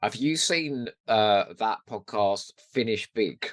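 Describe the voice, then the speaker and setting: A man's voice: fairly low pitch, expressive, slow, dry recording, clean studio sound. A man co-hosting a podcast with a jokey, self-deprecating, rambling way of chatting. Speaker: podcast co-host. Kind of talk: casual chat.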